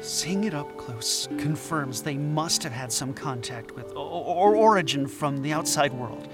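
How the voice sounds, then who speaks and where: Higher-voiced, scatterbrained professor, acted by a man. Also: unhurried, over music, close to an everyday voice. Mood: intrigued. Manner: hesitant, stammering, earnest. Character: scatterbrained, dreamy male anthropologist professor. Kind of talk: audio drama acting.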